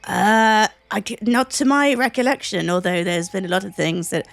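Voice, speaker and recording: Hesitant woman with gravelly voice. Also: medium pitch, fairly even intonation, normal speed, no room sound. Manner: hesitant, rambling, playful. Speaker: player.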